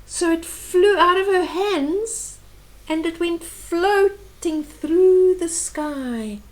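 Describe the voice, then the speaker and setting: Slow, high-voiced woman reading a storybook. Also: low-quality recording. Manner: animated, exclaiming, dramatic emphasis. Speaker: children's storybook reader. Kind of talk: expressive read-aloud story narration with explanatory asides.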